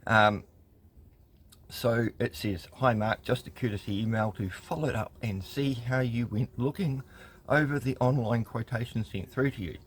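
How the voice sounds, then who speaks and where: A man's voice: medium pitch, normal speed, slight background noise. A man, telling a customer complaint story in a wry, exasperated way and reading emails aloud with lots of ums. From New Zealand. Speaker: consumer rights vlogger.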